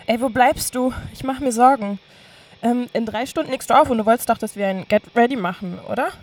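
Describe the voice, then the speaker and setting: A woman's voice: on the high side, brisk, a little mellow, lightly acted. A woman acting a coaxing, casual young woman. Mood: caring, coaxing. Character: caring, upbeat young woman friend, gently pushy. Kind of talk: naturalistic audio drama dialogue.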